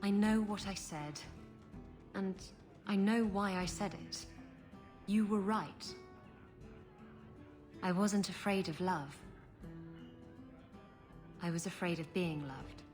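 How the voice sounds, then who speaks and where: A woman's voice: medium pitch, steady tone, takes it slowly, long pauses, a little mellow, soft background music, close to an everyday voice. An actress playing a flirtatious, sardonic pirate woman in a video game, sultry and teasing. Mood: tender, hesitant.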